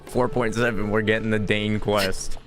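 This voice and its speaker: A man's voice: on the low side, level tone, moderate pace. A man giving casual gameplay commentary as a streamer, chatty and full of joking asides. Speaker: game streamer. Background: game music.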